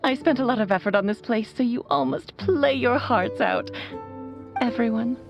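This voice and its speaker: A woman's voice: a little high, moderate pace, vocal fry. A woman playing a loving, whimsical mother in a video game, speaking in a tender, lyrical, theatrical way. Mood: playful, fond. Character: loving whimsical mother, long-lived mage, playful and wistful. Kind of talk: video game voice acting, storytelling monologue. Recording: slightly muffled, music behind. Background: background music.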